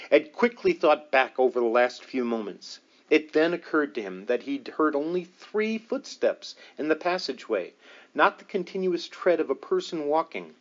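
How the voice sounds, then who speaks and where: A man's voice: medium pitch, dull audio. A man reading a paranormal book aloud as scripted narration, with a dramatic storytelling delivery. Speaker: audiobook reader.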